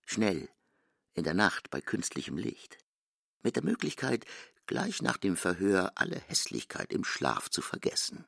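A male narrator reads literary prose for a radio play. His voice is very deep with a gravelly fry, yet it swings widely in pitch. The recording is tight and dry. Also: very animated, unhurried, fairly bright tone, no echo.

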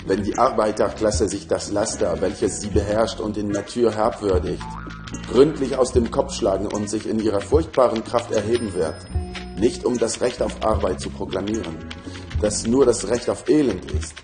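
A man's voice: lowish voice, moderate pace, music in the background. A man reading an old political text aloud as a quotation. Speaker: reader of quotations.

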